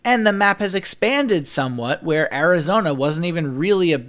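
A man's voice: fairly high pitch, expressive, moderate pace, fairly bright tone. A man working as a senior political editor, explaining polls and campaign spending fluently and rattling off figures on a podcast.

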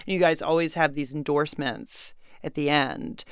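A woman's voice: low-pitched, fairly even intonation, normal speed, smooth, no echo, clean studio sound. A woman hosting a casual radio interview in a friendly, upbeat way. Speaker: show host, interviewer.

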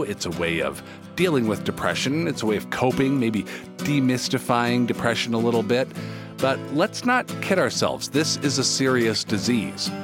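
A man reading his podcast narration and sponsor message with measured sincerity. Guitar music plays clearly under his voice. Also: medium pitch. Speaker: podcast host. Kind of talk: scripted narration and sponsor read.

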